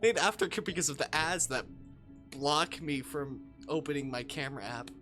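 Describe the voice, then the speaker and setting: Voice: mid-range voice, moderate pace, music in the background. A streamer chatting casually and reading a visual novel aloud, rambling, jokey and easily sidetracked.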